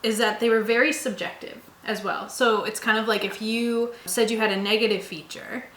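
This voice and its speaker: Bright-voiced woman chatting in an echoey room. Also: on the high side, fairly even intonation, normal speed. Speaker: co-host of a tabletop roleplaying channel. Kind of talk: casual chat. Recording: roomy echo, faint background noise.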